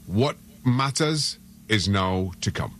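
A man's voice: on the low side, animated, slow pace, a bit bright, a little hiss or hum. A middle-aged man from Trinidad and Tobago, a soccer analyst on sports radio, speaking earnestly and often repeating words as he gathers his thoughts. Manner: earnest, frequent stammered repeats.

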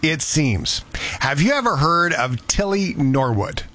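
A man's voice: on the high side, big pitch swings, unhurried, sharp tone. A man hosting a radio show, chatting casually and rambling through news stories.

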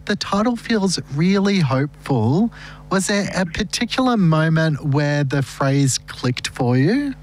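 Higher-voiced man hosting radio. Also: very animated, a little slow, rough recording. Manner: friendly, chatty. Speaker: radio host. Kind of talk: morning radio presenting.

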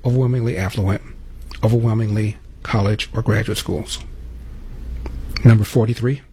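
A man's voice: fairly low pitch, fairly even intonation, normal speed, gravelly, dark tone, slight background noise. An American man hosting political commentary, delivering an opinionated monologue with emphatic rhetorical questions.

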